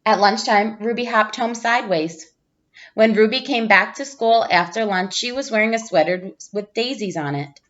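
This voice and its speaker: A woman reading a children's picture book aloud like a teacher, with expressive storytelling emphasis. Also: on the high side, moderate pace, lo-fi sound. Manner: animated character voices, clear storytelling emphasis.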